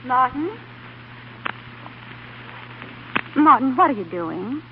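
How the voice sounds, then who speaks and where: An actress playing a devoted, plucky, anxious young wife in an old-time radio drama, with a folksy, coaxing, fretful manner. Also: female voice, high-pitched, sing-song, normal speed, soft background music. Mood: worried. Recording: tinny, noisy vintage radio recording.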